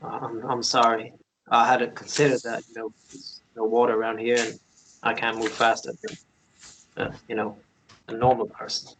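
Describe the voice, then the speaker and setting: Casting: man to play a gentle, protective male ghost from an old mine, hesitant and earnest, for a romantic fantasy read. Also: medium pitch, little pitch movement, normal speed, background noise, lightly acted. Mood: apologetic, protective.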